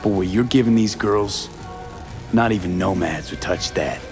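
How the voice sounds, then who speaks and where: A male actor voices a determined video game mercenary with a fairly low voice. He is blunt and controlled, wasting few words, with music plainly behind him. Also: moderate pace, natural voice. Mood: insistent, accusing. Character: cool, determined male mercenary, former nomad. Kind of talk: video game character acting.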